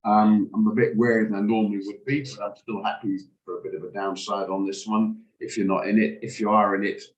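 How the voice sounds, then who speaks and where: A man, hoarse from a cold, hosting a live trading room and talking through charts with hesitant, stammering restarts. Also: lowish voice, flat delivery, brisk, muffled recording. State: hoarse, recovering from flu. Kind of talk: live market commentary on a video call.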